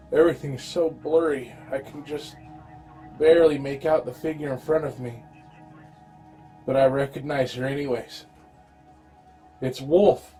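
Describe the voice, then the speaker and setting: A man acts out a wounded, frightened survivor in a horror audio drama. The voice sits a little high for a man, and the first-person telling is dramatic, with halting pauses. Faint music plays behind it. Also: warm tone. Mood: fading, weary, then dazed, anxious. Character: wounded, exhausted, terrified survivor of a zombie horde. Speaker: amateur voice actor.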